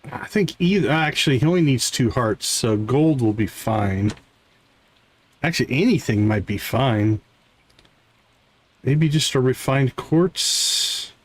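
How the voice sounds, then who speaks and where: A man's voice: medium pitch, expressive, slow pace, slight background noise. A man giving casual live game commentary, thinking aloud in short fragments. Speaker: game streamer.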